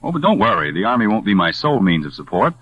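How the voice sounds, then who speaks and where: Charming young fiancé, low-voiced, quick and swinging in pitch, confident, playful, muffled vintage radio drama. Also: male voice, very animated, no room sound. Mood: breezy, confident. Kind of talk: 1940s radio drama acting.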